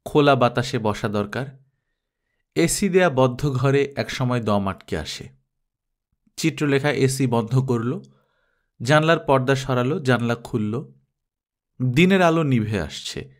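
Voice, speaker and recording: Male audiobook narrator, medium pitch. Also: expressive, takes it slowly, pauses often. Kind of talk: scripted story narration.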